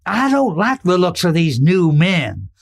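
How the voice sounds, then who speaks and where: Man acting a high-voiced, excitable folksy rancher. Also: measured pace, gravelly, full character voice. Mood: worried. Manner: rustic cowboy drawl, folksy grammar. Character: worried, hot-tempered folksy male Western rancher, a father. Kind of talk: Western radio drama acting.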